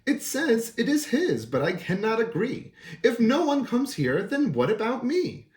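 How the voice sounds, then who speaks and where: Brief: man voicing a small indignant rabbit, high lively voice, protesting, echoey room. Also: a little slow, exaggerated voice. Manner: protesting, pleading. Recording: roomy echo.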